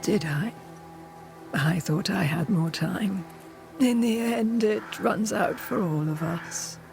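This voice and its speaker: A woman's voice: medium pitch, creaky, over music. An actress playing a dying old woman, a cryptic seer in a game cutscene, solemn, weary and whispery. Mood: wry, resigned. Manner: slow, cryptic, whispery pronouncements. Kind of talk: dramatic game cutscene acting.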